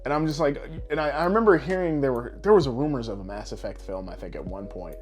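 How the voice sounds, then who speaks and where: A man talks in a loose, chatty podcast style with an average-pitched voice. He is animated and swears freely as he co-hosts, and music plays behind him. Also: fast. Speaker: podcast co-host. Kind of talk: casual chat about games and films.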